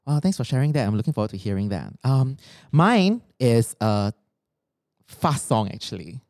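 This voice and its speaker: A woman's voice: a bass voice, sing-song, normal speed, mellow, no room sound, clean studio sound. A woman with a Singaporean accent co-hosting a podcast in casual chat, switching between English and Mandarin. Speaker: podcast co-host.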